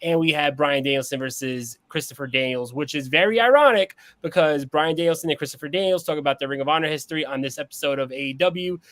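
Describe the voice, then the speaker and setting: A man co-hosting a podcast, giving a quick, informative rundown of an upcoming episode. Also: a little high, a bit bright, dry recording. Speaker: podcast co-host. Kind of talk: podcast show preview.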